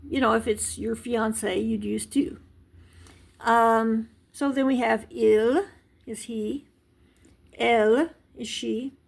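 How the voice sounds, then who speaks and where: A woman's voice: on the high side, vocal fry. A woman teaching a casual language lesson, chatty and rambling, with many fillers and restarts. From United States. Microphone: handheld device camera.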